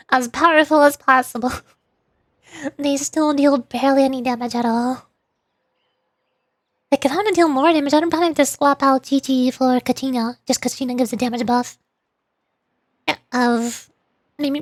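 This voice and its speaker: High-voiced woman streaming games, close dry sound. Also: no room sound. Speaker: streamer playing a game. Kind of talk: casual gaming livestream commentary.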